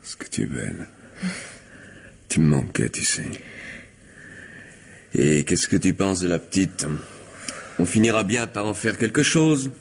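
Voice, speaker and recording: An actor playing a cruel, domineering and manipulative lover in a radio mystery drama, commanding and mocking. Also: male voice, low voice, expressive, a little slow, vocal fry, pauses often, exaggerated voice. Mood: smug, seductive.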